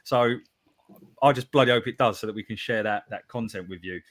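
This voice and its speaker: A man's voice: on the low side, brisk. A man hosting a live football podcast, reading out listener messages with a laugh. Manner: upbeat, reads out chat messages with laughs. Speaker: podcast host.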